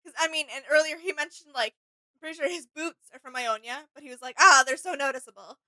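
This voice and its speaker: A woman's voice: sits high, fast talker, bright, forceful tone, no echo. A woman co-hosting a reaction video, chatting casually and musing aloud.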